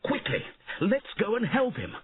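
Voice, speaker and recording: A man voicing a brave peasant rebel leader who calls out loud and urgent, heard over tinny phone-like audio. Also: medium pitch, wildly swooping pitch, slow, a little hiss or hum, voice pushed up.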